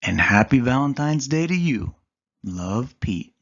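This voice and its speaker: A man reading a children's picture book aloud with expressive storytelling. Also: medium pitch, measured pace, highs cut off.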